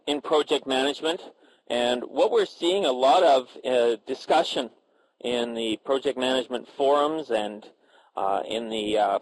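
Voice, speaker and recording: A man leads a professional panel with a voice of ordinary pitch. He stops and restarts often, filling gaps with hesitant sounds. There is a faint hiss behind him. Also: rough recording. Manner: halting, many filler sounds and restarts. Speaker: panel moderator. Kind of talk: moderating a professional panel discussion.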